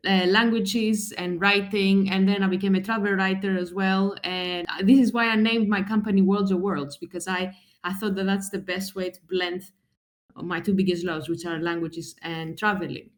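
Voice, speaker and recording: A woman from Greece, a translator and writer, answers interview questions in a lively, chatty way. Her voice sits at a middling pitch for a woman, and she restarts sentences and laughs as she goes. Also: moderate pace. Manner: animated, talks with fillers and restarts, laughs often. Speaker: guest, translator and travel writer. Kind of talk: conversational interview.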